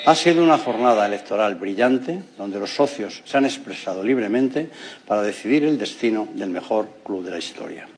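A man's voice: fairly low pitch, expressive, moderate pace, slight background noise. A man acting as a club president, giving a solemn, declamatory victory speech.

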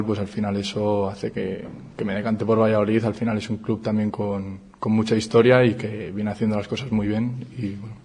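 Flat, low-voiced young man player. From Madrid, Spain. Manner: relaxed, modest, repeating words. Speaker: handball player. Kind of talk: post-signing interview.

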